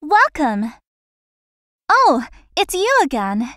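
A woman's voice: high voice, very animated, slow pace, fairly bright tone, no room sound, studio quality, heavily acted. A woman voicing a polite, hard-working young florist in a visual novel game. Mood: polite, cheerful.

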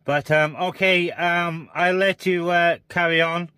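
A man's voice: on the high side, fairly even intonation, measured pace, pushed and bright, clear, resonant tone, no room sound, clean studio sound. A man chatting casually as a CB radio operator, speaking inside a parked car. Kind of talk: casual two-way radio chat.

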